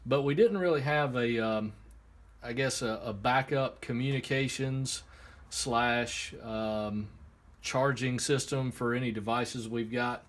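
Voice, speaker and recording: A man giving a casual, unscripted hands-on product demonstration, explaining step by step in a halting way. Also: mid-range voice. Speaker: product reviewer. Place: indoor workshop. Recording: clear background noise, room ambience.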